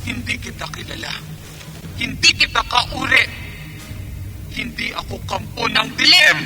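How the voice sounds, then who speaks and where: A defiant, protective creature in a horror radio drama. It speaks high and earnestly, declaiming over dramatic music. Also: male voice, animated, measured pace. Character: defiant, protective monster who denies its own kind.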